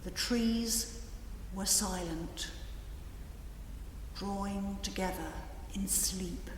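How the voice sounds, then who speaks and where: A woman reading descriptive literary prose in a measured, poetic way with long pauses. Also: mid-range voice. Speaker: reader. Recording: echoey hall with faint background noise.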